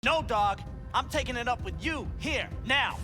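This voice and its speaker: An actor playing an indignant male club patron who argues loudly and sounds entitled over club music. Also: very high-pitched, animated, moderate pace. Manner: loud, entitled, confrontational.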